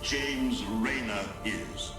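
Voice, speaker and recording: A man's voice: fairly low pitch, drawn-out words. A man playing a pompous tyrant emperor in a video game, giving a grandiose political address. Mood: triumphant. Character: pompous male tyrant emperor, smugly triumphant. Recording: echoey speech over loud music.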